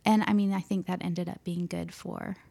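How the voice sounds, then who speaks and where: A young woman pastor speaking softly and gently in an interview, pausing often with hesitant ums. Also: fairly low pitch, steady tone, gravelly. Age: young adult. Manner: soft-spoken, gentle, hesitant with many ums. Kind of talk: interview conversation.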